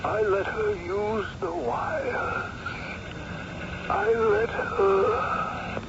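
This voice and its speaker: An actor playing a tormented, frantic old professor with a strained, quavering delivery in an old-time radio drama. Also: male voice, very high voice, big pitch swings, extremely slow, gravelly, heavily acted. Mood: haunted, mournful. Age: older. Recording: noisy, muffled old radio recording.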